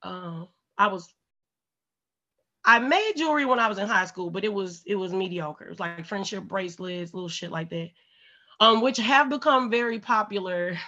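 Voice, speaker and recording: A woman from St. Louis chats on a podcast in a raspy, congested voice. She tells her stories bluntly and animatedly, with plenty of swearing. The audio sounds a little dull and muffled. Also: mid-range voice, moderate pace. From St. Louis, USA. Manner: blunt, profane, animated storytelling. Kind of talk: casual, candid podcast chat.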